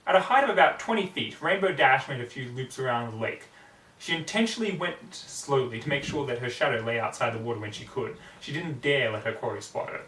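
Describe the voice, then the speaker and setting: A man reading a story aloud with hammy, expressive narration, recorded in a roomy space away from the microphone. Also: mid-range voice, fairly quick. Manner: hammy, theatrical. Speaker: amateur story reader. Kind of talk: expressive fan fiction narration. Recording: roomy echo, distant microphone.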